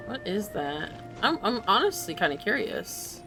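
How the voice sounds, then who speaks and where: A woman's voice: medium pitch, big pitch swings, measured pace, gravelly, over music, rough recording. A woman giving casual gameplay commentary as a streamer, rambling and muttering to herself. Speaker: game streamer.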